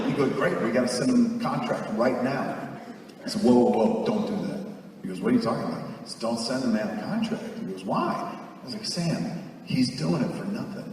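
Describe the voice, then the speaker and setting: A man's voice: mid-range voice, moderate pace, slight background noise. Stage storyteller, man, 40s, swears a lot, does the voices; live hall recording with long reverb. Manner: profane, animated, acts out quoted voices. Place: theater stage, live audience. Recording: echoey hall, far from mic.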